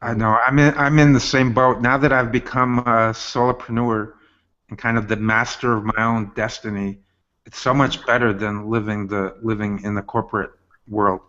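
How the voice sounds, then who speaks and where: A man hosting a casual interview on a video call, asking measured questions. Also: lowish voice, level tone, a bit bright. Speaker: host. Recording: dull web-call audio.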